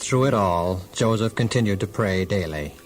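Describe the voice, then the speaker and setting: A man's voice: fairly low pitch, expressive, normal speed, natural voice. A man giving scripted storybook narration as the narrator of a children's drama. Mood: solemn. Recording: music underneath.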